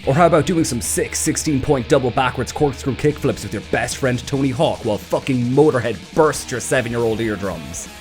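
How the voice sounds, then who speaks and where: A man's voice: mid-range voice, brisk, few pauses, darkish tone. A man reading scripted video essay narration in a wry, sardonic, sweary way. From Ireland. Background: background music.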